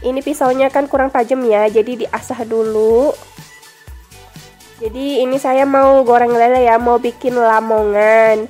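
A woman's voice: fairly high pitch, steady tone, unhurried, a little mellow, soft background music. A young woman with a cold and a stuffy nose, chatting to viewers in a friendly voice-over for her home vlog. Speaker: vlogger. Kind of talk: casual voice-over narration.

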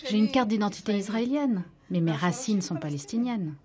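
A woman's voice: medium pitch, animated, moderate pace, crowd noise. A woman whose first language is Arabic, talking directly to others at a dinner table indoors. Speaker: cook, dinner guest. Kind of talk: table conversation.

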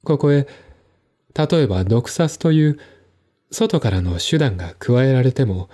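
A man playing a composed, articulate man in a one-man audio drama, explaining things in a measured, reflective way. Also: medium pitch, lively intonation, warm tone. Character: composed, articulate man recounting his stepmother's death.

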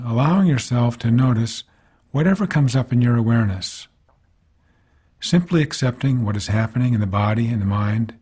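Male meditation guide, medium pitch, soft-grained husky tone, calm and unhurried instructional delivery with frequent pauses. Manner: calm, unhurried, gently instructive, pausing between phrases. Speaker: meditation teacher. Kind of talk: guided body scan meditation narration.

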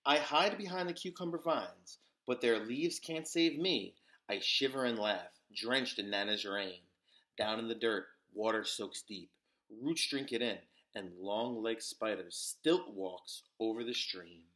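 A man reading a children's picture book aloud as a storyteller, with deliberate, dramatic emphasis. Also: on the low side, pushed and bright. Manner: deliberate, dramatic emphasis with occasional whispers and exclamations.

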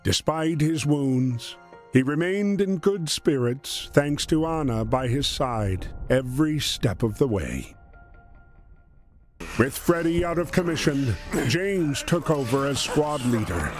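A man's voice: fairly high pitch, lively intonation, slow pace. A man giving solemn, scripted storybook narration for a war story game. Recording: music behind the voice. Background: music.

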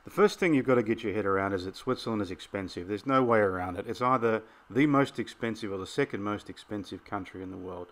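A man's voice: lowish voice, fast talker, few pauses. A middle-aged Australian man hosting a travel vlog, casually giving practical tips.

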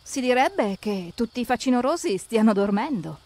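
Lady narrator for little kids cartoon, super lively and high voice, exclaims a lot. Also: moderate pace. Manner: expressive, exclamatory. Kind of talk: lively scripted narration for preschoolers, talking to the characters. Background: background music.

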